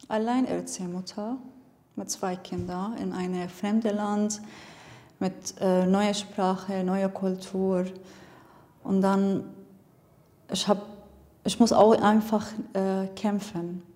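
A woman's voice: mid-range voice, fairly even intonation, slow pace, dark tone, in a large hall. Woman speaking hesitantly. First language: Arabic. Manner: hesitant, searching for words. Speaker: interviewee. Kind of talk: personal interview.

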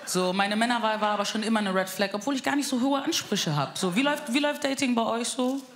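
A young woman from Bavaria doing a cheeky, deadpan stand-up set on a club stage. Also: on the high side, fast talker. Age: young adult. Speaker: stand-up comedian. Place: live comedy club stage. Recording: live stage mic, loud crowd and room noise.

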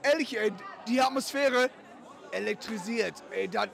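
A man, a football fan, talking euphorically and loudly in a street interview outdoors. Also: high-pitched, a little slow, gravelly, some chatter behind. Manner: shouting, euphoric.

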